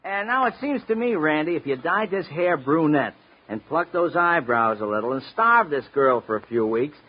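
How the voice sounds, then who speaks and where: An American actor playing a chatty, wisecracking little talent agent in a colorful 1950s radio drama style. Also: male voice, on the high side, expressive, moderate pace, breathy edge. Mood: wry, chatty. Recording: dull vintage radio recording.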